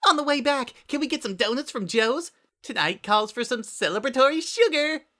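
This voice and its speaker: A man voicing a bouncy, excitable teenage cartoon girl in a dramatic story reading. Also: extremely high pitch, normal speed, pitched above the performer's own voice, heavily acted. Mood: excited.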